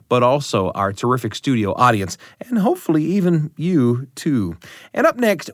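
A man's voice: mid-range voice, fairly bright tone, no room sound. Upbeat male radio host, dry studio sound. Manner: upbeat, laughs easily. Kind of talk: radio show hosting.

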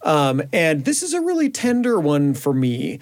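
A man with a somewhat high voice talks as a radio show contributor. His delivery is conversational, earnest and reflective, like a studio discussion among colleagues. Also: huge pitch swings, normal speed.

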